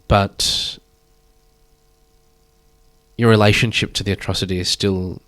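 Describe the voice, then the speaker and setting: A man in his thirties, a guest speaking thoughtfully in a measured, drawn-out way. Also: fairly low pitch, little pitch movement, a bit bright, no room sound, rough recording. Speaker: guest artist. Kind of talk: reflective conversation.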